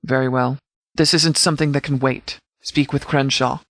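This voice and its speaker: An actor playing a commanding post-apocalyptic sheriff in a video game, speaking in a clipped, formal, authoritative way. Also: male voice, mid-range voice, fairly even intonation, moderate pace, a bit bright, dry recording, studio quality, lightly acted. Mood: urgent, commanding.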